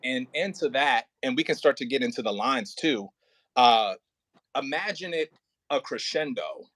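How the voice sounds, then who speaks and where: A man's voice: mid-range voice, expressive, moderate pace, fairly bright tone, dry recording. Informal man directing a rehearsal, close dry sound. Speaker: audio play director. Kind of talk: casual rehearsal coaching.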